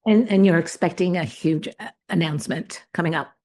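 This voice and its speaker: A woman's voice: lowish voice, fairly quick, a little mellow. A woman interviewer asking questions and offering brief encouraging interjections.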